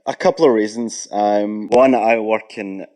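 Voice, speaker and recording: A Scottish man in his thirties, speaking as an interviewed guest in a measured, thoughtful way with pauses. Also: fairly low pitch, steady tone, mellow, dry recording. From Scotland, Ayrshire. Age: 30s. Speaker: guest property investor. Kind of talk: recorded interview answers.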